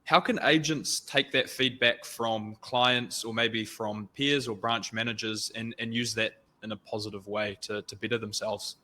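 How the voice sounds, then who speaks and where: A man's voice: lowish voice, fairly even intonation, moderate pace, hardly any pauses, bright, forceful tone. A man hosting a casual interview over a video call, upbeat and affirming as he moves through his questions. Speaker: interview host.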